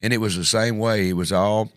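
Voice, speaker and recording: A man's voice: on the low side, steady tone, fairly quick, dry recording, clean studio sound. A man with a West Tennessee accent hosting a talk show, chatting in a folksy, rambling way. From Tennessee, USA. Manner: folksy, rambling, frequent "yeah" agreements. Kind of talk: casual chat.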